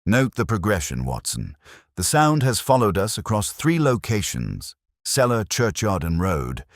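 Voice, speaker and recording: A man voicing a coolly precise, commanding detective with a crisp, dry, clipped delivery in a story reading. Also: lowish voice, huge pitch swings, unhurried, dry recording, pitched above the performer's own voice, full character voice. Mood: commanding, analytical.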